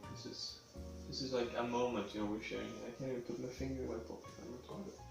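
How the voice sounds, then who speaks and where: A man's voice: medium pitch, steady tone, quick pace, creaky. A young man with a cold, sniffly, rambling offhand and swearing casually as he cooks in his home kitchen. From Sweden. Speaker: home cooking video host. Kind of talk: casual cooking demonstration. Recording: echoey room, mic at a distance, faint music.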